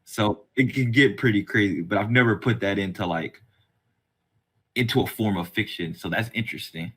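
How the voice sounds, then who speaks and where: Low-pitched man hosting a casual podcast. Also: moderate pace, slightly rough. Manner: slangy, conversational. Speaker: podcast host.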